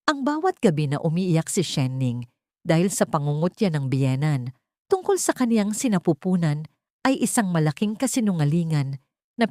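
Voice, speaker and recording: Brief: female audio-story narrator, slightly low pitch, dramatic scripted read, very dry studio-like sound. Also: dark tone, dry recording.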